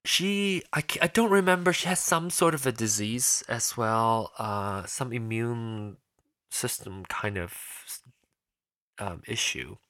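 A man's voice: mid-range voice, slow, fairly bright tone, no echo. A man hosting his own podcast, talking casually and rambling with many restarts and fillers. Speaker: podcast host. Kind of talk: casual solo podcast talk.

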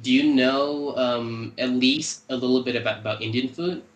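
A man's voice: medium pitch, moderate pace, roomy sound, lo-fi sound. A man from Malaysia, a guest in a casual podcast chat, easygoing and hesitant, often repeating words.

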